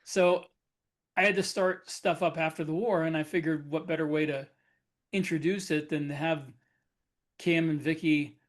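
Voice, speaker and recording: Higher-pitched guy, chatty, laughs a lot while telling stories. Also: steady tone, normal speed. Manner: chatty storyteller, laughs easily. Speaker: podcast co-host and author. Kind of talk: casual panel discussion.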